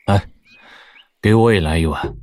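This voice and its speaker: A man playing a dry, low-key adviser to a prince in an audio drama, with a husky voice. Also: darkish tone, dry recording.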